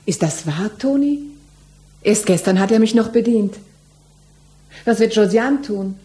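Village wife female actor, mellow and dark, searchingly questioning her husband, quiet delivery in 1960s German radio-play style. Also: mid-range voice, animated, unhurried, lightly acted. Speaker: actor in a radio crime drama.

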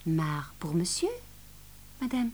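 An actress playing an excitable, principled young French cook with a French accent, dramatic and indignant, in a radio drama. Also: female voice, on the low side, takes it slowly, exaggerated voice. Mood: insistent. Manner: dramatic, indignant, sprinkles speech with French exclamations.

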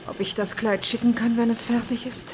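A woman's voice: on the high side, level tone, a little fast, background noise, lightly acted. An actress playing an anxious, brittle pastor's wife and mother in a German radio play. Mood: anxious, strained.

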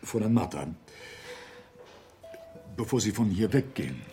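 An actor in a German radio play voicing a weary, courteous older academy director with a formal, sighing manner. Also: male voice, fairly low pitch, animated, moderate pace, warm tone, faint music behind, natural voice. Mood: gentle, solemn.

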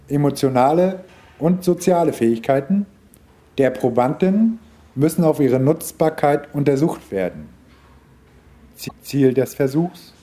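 A man's voice: medium pitch, dark tone. An actor playing an officious government sociologist in a radio play, speaking formally and matter-of-factly as he conducts an interview. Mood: clinical announcing. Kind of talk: German radio play acting.

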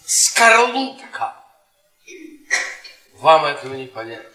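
Needling, high-pitched bitter professor, echoey stage. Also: male voice, very animated, slow, music in the background. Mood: mocking, needling. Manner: theatrical, needling, repeats words teasingly. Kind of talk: Soviet stage radio drama acting. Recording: echoey theatre stage with audience sounds.

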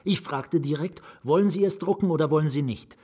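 Haunted writer, husky voice, intimate confession, halting and dramatic, hushed passages, radio drama. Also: male voice, on the high side. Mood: bitter, shaken. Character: haunted nameless male writer confiding his lost love. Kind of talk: German radio drama monologue.

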